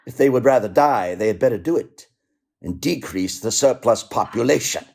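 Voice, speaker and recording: A man's voice: medium pitch, animated, moderate pace, creaky, darkish tone. An actor playing a cold, miserly old businessman in a radio play, speaking in a curt, grudging, dismissive way. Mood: callous, dismissive.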